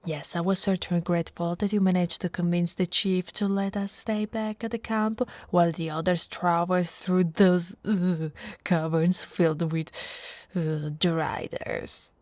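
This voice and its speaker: A woman from Italy playing an affectionate, teasing female orc warrior in an intimate, soft-spoken ASMR roleplay. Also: fairly low pitch, steady tone, unhurried, no room sound, natural voice. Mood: grateful, tender. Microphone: close, dry microphone.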